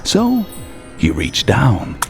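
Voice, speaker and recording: A man's voice: mid-range voice, wildly swooping pitch, very slow, vocal fry, faint music behind. A man narrating a radio tale in a theatrical, rhyming beat-poet style, relishing every word. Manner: dramatic, relishing rhyme and alliteration.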